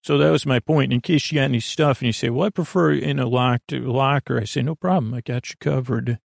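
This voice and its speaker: A man hosting a bedtime podcast in a creaky, soothing voice, meandering through halting tangents and interrupting himself. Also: mid-range voice, steady tone, dry recording, studio quality. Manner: lulling, soothing. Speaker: podcast host. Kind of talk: rambling bedtime sleep-podcast monologue.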